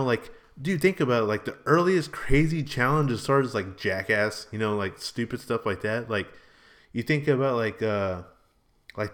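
A man in his early thirties hosting a casual, rambling solo podcast in a slangy, informal, exclamatory way. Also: medium pitch. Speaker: podcast host and vlogger.

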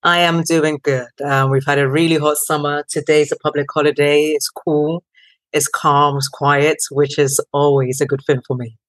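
A woman's voice: sits low, normal speed, no room sound. Ghana-born woman telling her story. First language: not English. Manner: lively storyteller, many ums, acts out quotes. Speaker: guest. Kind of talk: conversational interview.

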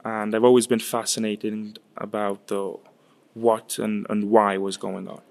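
A man in his twenties, raised in Chile, answering interview questions in a halting way, often repeating words. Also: on the low side, level tone, creaky, a little mellow. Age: 20s. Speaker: guest, winemaker's son. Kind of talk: casual interview.

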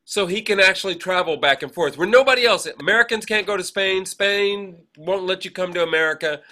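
A man with a slightly high, bright and forceful voice co-hosts a casual podcast. He talks in a digressive way, pausing and hesitating as he wanders from one aside to the next. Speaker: podcast co-host. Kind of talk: casual chat, rambling birthday shout-outs.

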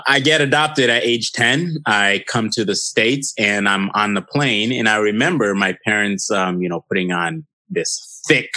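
A man's voice: on the low side, bright, forceful tone, no room sound. A man from Haiti whose first languages are French and Haitian Creole, telling stories chattily as a podcast guest, with many fillers. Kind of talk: casual interview storytelling.

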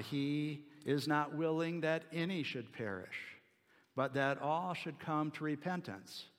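A man preaching an expository sermon in a deliberate, explanatory way, pausing between short phrases. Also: fairly high pitch. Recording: long hall reverb.

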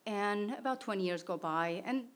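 A woman's voice: mid-range voice, normal speed. Woman telling a story. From Portugal. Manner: conversational, plain, with fillers and restarts. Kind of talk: live personal storytelling.

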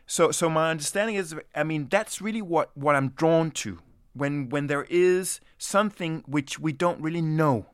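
A man's voice: a little high, normal speed, few pauses, clean tone, no echo, studio quality. A man from Denmark, an anthropologist, answering interview questions in a reflective, hesitant way with many restarts.

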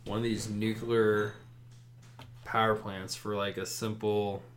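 A man's voice: lowish voice, flat delivery, slow. A man from Maryland with a Maryland accent, chatting loosely as a podcast host, rambling with lots of fillers. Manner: laid-back, rambling with fillers. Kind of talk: casual chat.

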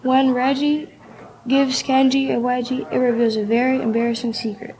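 A woman's voice: high-pitched, level tone, normal speed, darkish tone, rough recording. A woman reading scripted narration for an amateur radio play, with some noise on the recording. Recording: slight background noise.

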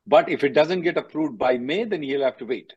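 A man's voice: a little high, a little fast, darkish tone, slightly rough. A man giving blunt, directive advice as an attorney hosting a question-and-answer call, often repeating himself. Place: conference call. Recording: dull lo-fi conference call audio.

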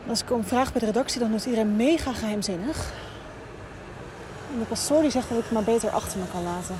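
Actress as higher-voiced, curious young woman reporter. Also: noisy background, close to an everyday voice. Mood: determined. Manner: hesitant, halting questioning. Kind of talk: naturalistic audio drama acting.

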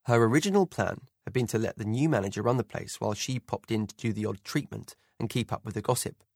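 A man narrating an audiobook in the first person, in a measured, subdued storytelling manner. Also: lowish voice, creaky, a little mellow, breathy edge, no room sound, studio quality.

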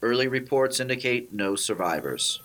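A man's voice: mid-range voice, animated, normal speed, a bit bright, close to an everyday voice. A man playing an earnest, grave news anchor in an old-time radio drama. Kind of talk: old radio newscast acting.

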